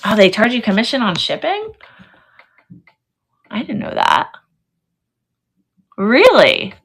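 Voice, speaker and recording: A woman's voice: fairly high pitch, huge pitch swings, takes it slowly, a bit bright, slightly rough. A woman hosting a casual live sale stream, chatting with viewers and thinking aloud as she goes. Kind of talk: casual livestream chat with viewers.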